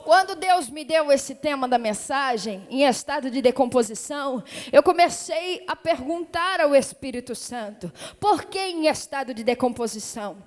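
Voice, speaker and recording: A woman with a São Paulo accent preaching a fervent Pentecostal sermon as a missionary, moving from calm greetings to loud exhortation. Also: high-pitched, moderate pace, few pauses. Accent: São Paulo (paulista). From São Paulo state, Brazil. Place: church hall. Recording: reverberant church hall.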